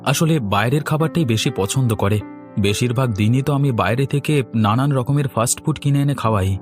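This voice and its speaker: A man's voice: medium pitch, brisk, a little mellow, lightly acted. A man playing an anxious, deferential husband in a cartoon, worried and pleading. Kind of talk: cartoon dialogue. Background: instrumental music.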